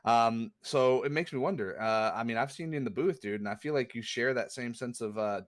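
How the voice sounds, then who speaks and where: A man's voice: medium pitch, a little fast. A man hosting a casual stream interview, laid-back and rambling, calling people "dude". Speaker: stream host.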